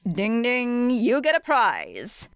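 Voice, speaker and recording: Clear-voiced, brash teenage schemer girl, as a woman reads her. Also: fairly high pitch, wildly swooping pitch, slow pace, a bit bright, dry recording, clean studio sound, pitched above the performer's own voice, exaggerated voice. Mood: teasing. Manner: sarcastic, blunt. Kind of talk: audiobook character dialogue.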